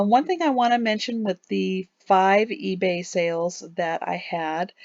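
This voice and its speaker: A woman reselling online, narrating a relaxed screen-recorded recap of what sold, halting with many ums and a tiring voice. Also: on the low side, vocal fry, dry recording, dull audio. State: losing her voice. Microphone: voice-over on a screen recording.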